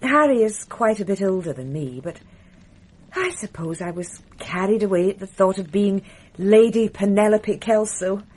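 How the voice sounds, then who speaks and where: An actress playing a refined, wistful titled lady in a radio drama, speaking softly and confidingly. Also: mid-range voice, animated, normal speed, natural voice.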